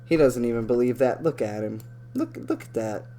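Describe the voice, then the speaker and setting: Male commentator, casual reaction talk, swearing a lot, excitable and sarcastic, average pitch, slight background hum. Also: normal speed. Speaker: video commentator.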